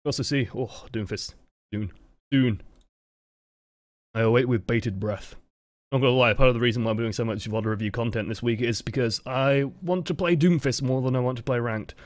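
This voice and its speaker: A man's voice: mid-range voice, brisk, dry recording. A man with a dry voice, giving casual, explanatory coaching commentary over gameplay footage. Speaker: game coach.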